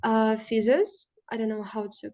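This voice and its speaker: Russian woman reading aloud haltingly. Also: a little high, fairly even intonation, darkish tone, lo-fi sound. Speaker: club participant. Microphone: video call.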